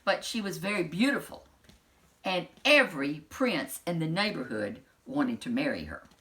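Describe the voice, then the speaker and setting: Lady reading a kids book out loud, super animated, bright voice, throws in casual little asides. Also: low-pitched, moderate pace, low-quality recording. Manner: animated, dramatic emphasis. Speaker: storyteller reading a children's book aloud. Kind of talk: expressive storybook narration with casual asides.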